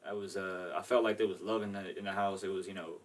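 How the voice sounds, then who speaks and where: Fast-talking young man, slightly off-mic. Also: low voice, fairly even intonation, far from the mic. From Maryland, USA. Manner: loose, rambling, lots of "you know" and "like". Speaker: guest. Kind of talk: casual interview answers.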